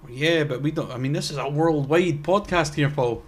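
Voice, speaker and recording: A man's voice: on the high side, normal speed, breathy edge. A young man co-hosting a podcast in casual chat. He rambles, stops himself mid-sentence and makes wry asides. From Scotland. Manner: rambling, self-interrupting and wry. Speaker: podcast co-host.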